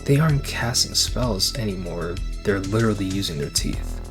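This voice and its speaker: A man's voice: deep voice, lively intonation, moderate pace. A man hosting a video essay, moving between scripted commentary and casual asides. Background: background music.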